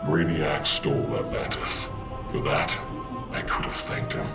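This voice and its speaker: A bitter armored game villain, very deep and menacing, slow, music behind. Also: male voice, vocal fry, exaggerated voice. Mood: grim, bitter. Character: vengeful male armored supervillain, bitter, menacing, proud. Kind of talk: villain monologue in a video game.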